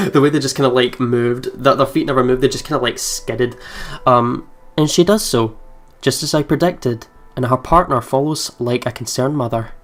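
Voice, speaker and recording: A man's voice: medium pitch, moderate pace. A man hosting a let's play, reading game narration aloud and adding casual commentary. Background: game music.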